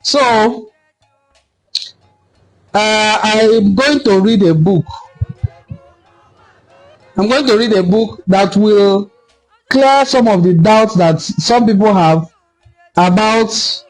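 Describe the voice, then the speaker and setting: Man, Nigerian accent, high voice, forceful lecturing, faint music underneath. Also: slow pace, long pauses, low-quality recording. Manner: forceful, lecturing, repeats for emphasis. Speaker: online cultural commentator. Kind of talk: impassioned solo commentary video.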